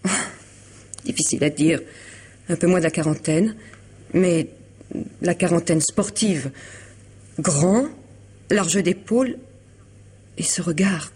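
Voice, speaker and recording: A woman's voice: lowish voice, takes it slowly, pauses often, a little mellow, husky, heavily acted. An actress playing an elegant, troubled woman confiding in a lawyer friend in a vintage French radio drama, hesitant yet articulate. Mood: pensive, intrigued. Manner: hesitant, restarting, articulate and formal.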